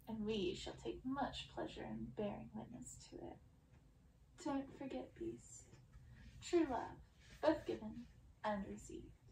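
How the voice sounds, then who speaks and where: A woman's voice: fairly high pitch, a little mellow, reverberant, a little hiss or hum, rough recording. Woman playing a cruel, taunting witch. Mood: taunting menace. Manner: taunting, formal, menacing. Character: gloating, cruel witch sisters taunting a beast. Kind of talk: storybook character reading.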